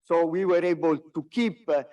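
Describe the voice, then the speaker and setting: Halting non-native man, distant mic. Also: fairly high pitch, mellow. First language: not English. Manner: halting, frequent hesitations and repeated words. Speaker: company executive presenting results. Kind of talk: business presentation on a conference call. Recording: distant mic, conference call audio.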